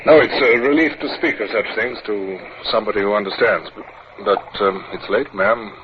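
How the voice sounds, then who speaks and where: An actor playing a reserved, courteous middle-aged naval captain, formal and a little hesitant, in an old radio drama. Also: male voice, on the low side, moderate pace, close to an everyday voice. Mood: awkward, tender. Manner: formal, hesitant when speaking personally. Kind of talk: old-time radio drama acting and narration. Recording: muffled, noisy 1950s radio recording.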